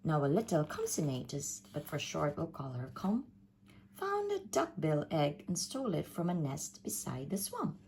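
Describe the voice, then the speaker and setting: A woman reading a rhyming children's story aloud in a theatrical, sing-song, expressive way. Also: low voice, moderate pace, a little hiss or hum. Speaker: storybook reader.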